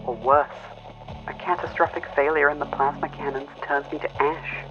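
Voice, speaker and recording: A man's voice: on the high side, level tone, moderate pace, voice pushed up. A man voicing a weary, frightened female mech pilot in an audio drama, strained and pleading over a radio. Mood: wary pleading. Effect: radio filter. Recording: muffled cockpit radio with music.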